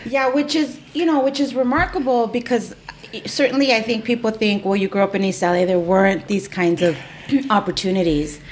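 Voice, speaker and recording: A woman's voice: fairly high pitch, fairly even intonation, fairly quick, a little hiss or hum. A woman who grew up speaking Spanish at home, hosting a podcast in a chatty, encouraging way with many affirmations. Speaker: podcast host, employment attorney. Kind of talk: conversational interview.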